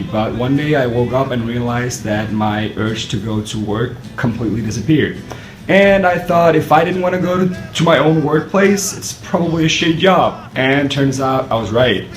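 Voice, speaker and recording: A man, a startup co-founder speaking casually and bluntly. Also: mid-range voice. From Denmark. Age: 20s. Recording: echoey room.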